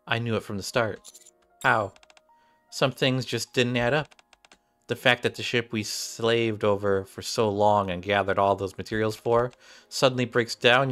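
Bright-voiced man reading game dialogue. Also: lowish voice. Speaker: game streamer. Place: small room at home. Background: game music, keyboard typing.

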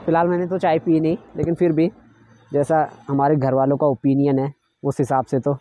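A man's voice: fairly high pitch, flat delivery, fairly quick, lo-fi sound. A young man chatting casually to his viewers while filming a road trip vlog on a phone held at arm's length, feeling a little queasy. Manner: chatty, addresses viewers as friends. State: queasy from travel sickness. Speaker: vlogger. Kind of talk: casual travel vlog narration. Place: in a car on mountain roads, then outdoors at a busy temple.

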